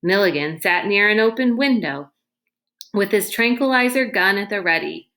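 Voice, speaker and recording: A woman's voice: mid-range voice, animated, normal speed, bright, forceful tone. Woman narrating a children's novel aloud.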